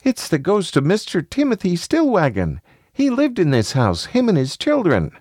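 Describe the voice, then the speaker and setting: Man putting on an earnest, high-pitched little boy. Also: huge pitch swings, moderate pace, a little mellow, no room sound, clean studio sound, heavily acted. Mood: breathless, eager. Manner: simple, earnest childlike questioning. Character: small, earnest, curious, uneasy young boy.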